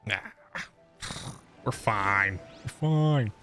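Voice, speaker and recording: A man with a fairly low voice gives a casual game playthrough. He reads on-screen text aloud and adds offhand comments, with game music underneath. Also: very slow, pushed and bright. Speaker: gaming video host.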